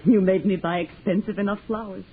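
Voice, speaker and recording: A woman's voice: mid-range voice, steady tone, fairly quick, lightly acted. A woman playing a fretful old grumbling mother. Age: older. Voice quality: grumbling, fussing over money. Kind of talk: 1940s radio acting. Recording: tinny, hissy 1940s radio broadcast.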